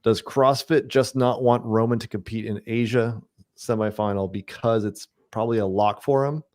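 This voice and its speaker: Brief: low-pitched male podcast host, relaxed musing delivery. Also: moderate pace. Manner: musing, thinking out loud. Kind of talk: casual chat.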